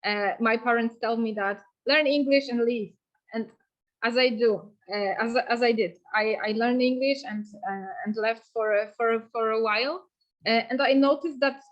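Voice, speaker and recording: A slow-speaking woman in her thirties from Poland, with a slightly high voice. She halts and repeats words while searching for the next phrase, and the audio is dull and lo-fi. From Eastern Wielkopolska, Poland. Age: 30s. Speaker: just transition activist. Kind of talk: online panel discussion.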